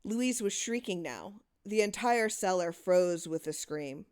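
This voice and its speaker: A woman's voice: on the low side, animated, unhurried, a little mellow, clear, resonant tone. A woman narrating a horror short story in a dramatic reading style.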